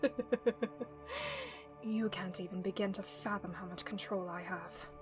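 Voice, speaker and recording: A woman voicing a sly, smug female villain in an animated series, with taunting, sarcastic, drawn-out delivery. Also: fairly low pitch, faint music behind, lightly acted. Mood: mocking, menacing. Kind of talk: animated web series voice acting.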